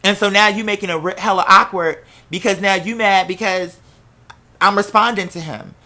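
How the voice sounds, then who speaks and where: An American podcast host telling a personal story casually, in an animated, candid and profanity-laced way. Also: medium pitch, normal speed. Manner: acts out quoted remarks while telling.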